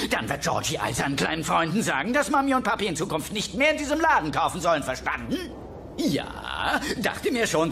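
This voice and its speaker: An actor playing a bullying, greedy plush kids' TV star in a German cartoon audio play, pushy and gloating, with a falsely sweet streak. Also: male voice, a little high, gravelly, sharp tone, exaggerated voice. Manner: pushy, gloating, switches to syrupy.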